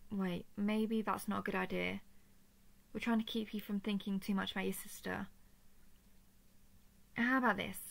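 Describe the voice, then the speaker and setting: Woman acting as a royal lady dragon in an audio roleplay, creaky soft voice, playful n protective, kinda formal wording. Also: medium pitch, close to an everyday voice, rough recording. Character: royal female dragon, empath, teasing and tenderly protective. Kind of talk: intimate scripted audio roleplay addressed to listener.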